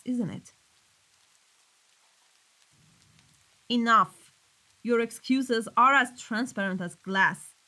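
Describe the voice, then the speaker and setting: A woman's voice: a little high, fairly bright tone, rough recording. A woman playing a cold, commanding mafia boss in a scripted audio roleplay, speaking sternly and accusingly. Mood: scornful. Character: cold, menacing female mafia boss dealing in weapons.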